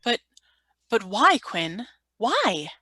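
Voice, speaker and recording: Woman playing a crisp, forceful governor. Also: fairly high pitch, sing-song, drawn-out words, no echo, exaggerated voice. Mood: stern. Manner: formal, authoritative. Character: stern, questioning colony governor. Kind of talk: scripted radio play reading.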